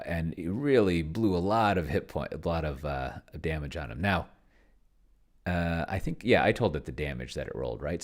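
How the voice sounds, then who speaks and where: A man giving a casual, unscripted commentary on his own tabletop game session, rambling and hesitant as he thinks aloud and trails off mid-thought. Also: very deep voice. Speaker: solo tabletop roleplayer and host, narrating own play session.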